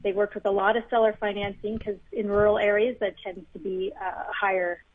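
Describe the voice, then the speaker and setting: A woman in her fifties from the United States talks over a tinny phone line as a guest. She tells her story in a friendly, self-deprecating way and laughs easily. Her voice is a little husky, and the sound is dry and close. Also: mid-range voice, flat delivery, a little fast, no room sound. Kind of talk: interview storytelling.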